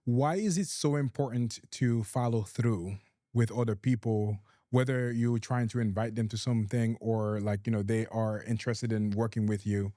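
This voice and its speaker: A man's voice: fairly low pitch, steady tone, moderate pace, mellow, dry recording, clean studio sound. Haitian-accented male talk show host. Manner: brief affirming backchannels, careful questions. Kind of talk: friendly interviewing.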